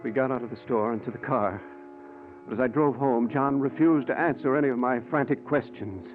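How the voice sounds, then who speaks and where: A man's voice: medium pitch, a little fast, over music, lightly acted. Man playing an anxious scientist, tinny old radio. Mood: grave narration. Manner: earnest, grave, dramatic. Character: anxious, conscience-stricken scientist, brother of a killer, narrating. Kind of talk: 1940s radio acting. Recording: noisy, band-limited 1940s radio recording.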